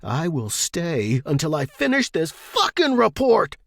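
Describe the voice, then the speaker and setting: A man's voice: on the high side, huge pitch swings, unhurried, vocal fry, a bit bright, dry recording, clean studio sound, voice pushed up, heavily acted. A man voicing a panicked, defensive, foul-mouthed male office worker in audio fiction, tense and snappish. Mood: angry. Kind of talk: audio fiction character dialogue.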